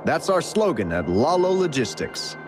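A man's voice: a little high, very animated, normal speed. A chatty male courier from a video game, over faint music. His delivery is theatrical and swoops up and down, with a shifty, evasive edge. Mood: relieved, chirpy. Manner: theatrical, evasive, stammering when pressed. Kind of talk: video game character dialogue.

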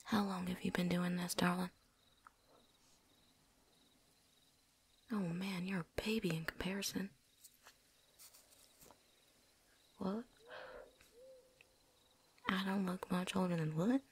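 A woman playing a seasoned, wary but friendly vampire hunter in a hushed, folksy ASMR roleplay whisper. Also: lowish voice, fairly even intonation, moderate pace, long pauses, slight background noise, lightly acted. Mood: teasing, friendly. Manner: hushed, folksy drawl, teasing.

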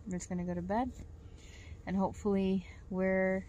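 A young woman in her twenties, narrating a travel vlog in a relaxed, matter-of-fact way, filming herself on a phone inside a parked truck. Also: mid-range voice, moderate pace, dull audio. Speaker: travel vlogger.

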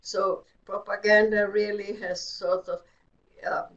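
An older woman whose first language is German tells her story slowly and plainly, with long unhurried phrases. Her voice sits at a middle pitch. The sound is dull and lo-fi, as if picked up by a webcam across a room. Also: flat delivery. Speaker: Holocaust survivor guest. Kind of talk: slow personal recollection. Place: indoor exhibit room. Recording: dull lo-fi webinar audio.

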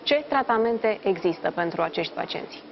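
A young woman presenting a morning news show from a TV studio, reading a clear scripted intro and asking interview questions. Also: medium pitch, animated, highs cut off, slight background noise. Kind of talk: live TV interview.

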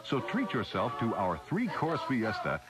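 A man's voice: medium pitch, huge pitch swings, a little slow, loud music behind the voice. A man announcing a scripted 1980s radio commercial.

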